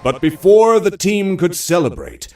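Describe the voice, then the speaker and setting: A man reading scripted audio drama narration in a measured, suspenseful storytelling manner. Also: high voice, wildly swooping pitch, warm tone, distant mic.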